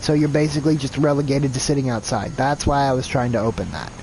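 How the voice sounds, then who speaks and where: A man's voice: mid-range voice, quick pace, darkish tone, slight background noise, rough recording. A man streaming a video game live, chatting casually and thinking aloud in a rambling, self-deprecating way. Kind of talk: casual gameplay commentary.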